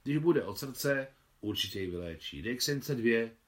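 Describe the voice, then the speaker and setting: A man voicing a gentle, fatherly mustached soldier in an audiobook. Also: mid-range voice, lively intonation, close to an everyday voice. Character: kindly mustached older soldier.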